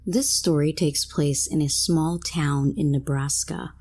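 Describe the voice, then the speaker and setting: Low, husky woman narrating scary stories. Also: fairly even intonation, unhurried, a little mellow, faint music behind. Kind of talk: scripted first-person story narration.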